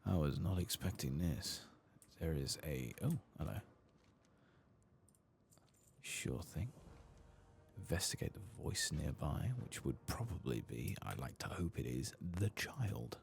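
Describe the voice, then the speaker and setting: Very deep, gravelly soft-spoken man. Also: expressive, measured pace, slight background noise. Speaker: video game streamer. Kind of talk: soft-spoken ASMR gameplay commentary.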